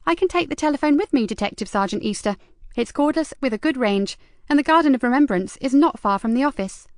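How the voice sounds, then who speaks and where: A woman's voice: high-pitched, lively intonation, fast talker, no echo, studio quality, voice pushed up. A woman voicing an eager, self-important young female receptionist who turns frightened.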